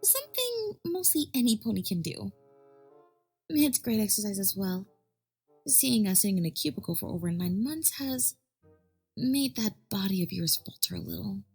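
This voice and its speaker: A woman playing a gentle, affectionate pony wife in an acted audio fan fiction. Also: medium pitch, sing-song, normal speed, breathy edge, soft background music. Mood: fond, encouraging. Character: gentle, caring pregnant female pony wife.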